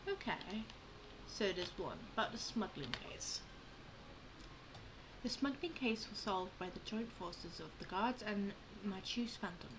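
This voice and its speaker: A woman with a medium-pitched voice plays a game and comments on it casually. She is informal and halting when she reads aloud. There is clear noise in the background. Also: lively intonation. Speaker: gamer, channel host.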